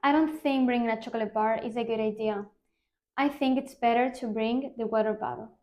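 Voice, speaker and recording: A woman in her twenties answers speaking-test questions carefully, with small hesitations. Her voice is high. The room adds a clear echo. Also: fairly even intonation, fairly quick, darkish tone. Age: 20s. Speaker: student, exam candidate. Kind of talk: practice exam answers. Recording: echoey room.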